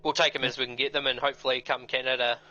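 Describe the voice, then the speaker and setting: A man's voice: medium pitch, flat delivery, racing, pushed and bright, muffled recording, soft background music. A man co-commentating an online racing broadcast in a blunt, laid-back, casual way.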